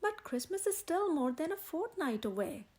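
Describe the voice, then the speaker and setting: Woman voicing a gentle male animal friend, storybook, soft, doubtful, high voice. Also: sing-song, moderate pace.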